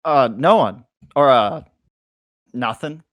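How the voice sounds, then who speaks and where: A man's voice: medium pitch, animated, slow pace, no echo. A young man reading an awkward male college student with a crush in an amateur table read. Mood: flustered, defensive.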